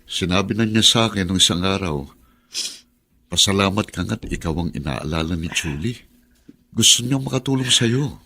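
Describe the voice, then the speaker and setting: A man playing a caring, frank older neighbour with a gravelly, husky voice, advising with concern in a radio drama. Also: sits low, moderate pace, bright, forceful tone, full character voice.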